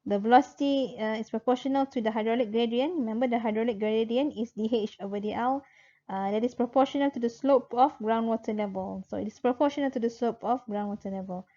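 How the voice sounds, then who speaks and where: A woman with a Malaysian accent giving an informal recorded lecture as a university lecturer, explaining step by step in a halting way and repeating key points. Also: fairly high pitch, mellow. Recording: dull lo-fi voice recording.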